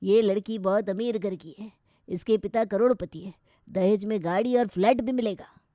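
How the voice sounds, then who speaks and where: A woman voices an Indian pandit matchmaker from a folk-style story. The delivery is measured and approving, like a polite sales pitch, in a mellow, dry sound. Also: fairly even intonation, dry recording, lightly acted. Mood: persuasive.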